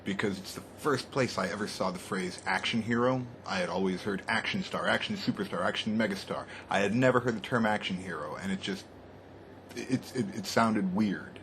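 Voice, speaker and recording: A man's voice: lowish voice, normal speed, fairly bright tone. A man hosting a comedy show with casual, rambling comic talk. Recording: echoey room with clear background noise.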